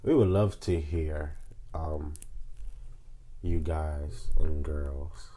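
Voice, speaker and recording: A man's voice: very deep voice, fairly even intonation, extremely slow, dark tone, slight background noise. A young man with a Southern US accent, sick with a sore throat, chatting casually and rambling as a podcast co-host. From South Carolina, United States. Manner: rambling, joking, colloquial. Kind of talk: casual chat.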